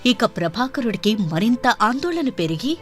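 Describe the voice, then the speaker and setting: A woman reads a scripted storybook tale expressively. Her voice is fairly high with lively swings of pitch, and soft music plays behind her. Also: measured pace. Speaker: story narrator.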